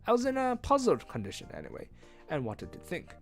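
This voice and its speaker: A man reading a story aloud as host of a read-along, with expressive narration and casual asides. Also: high-pitched, fairly quick. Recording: music behind.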